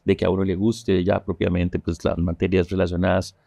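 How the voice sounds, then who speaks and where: Middle-aged man, engineer guest, low mellow gravelly voice, calm and explanatory. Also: fairly quick, no echo, clean studio sound. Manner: calm, explanatory, uses tag questions. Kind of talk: studio TV interview. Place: dark TV studio. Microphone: lapel mic.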